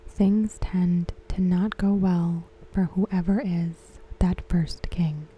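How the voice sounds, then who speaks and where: A woman giving ASMR commentary in a hushed, gentle, breathy, whispery voice. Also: fairly low pitch, steady tone, slight background noise, poor audio. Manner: hushed, gentle, unhurried delivery. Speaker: ASMR content creator reviewing a TV episode. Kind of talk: ASMR soft-spoken commentary.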